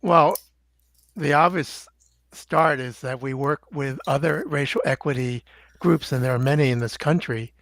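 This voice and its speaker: Halting older man, dry close sound. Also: medium pitch, fairly bright tone, no room sound. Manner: measured, halting with many fillers. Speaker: doctor, guest. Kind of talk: interview answers.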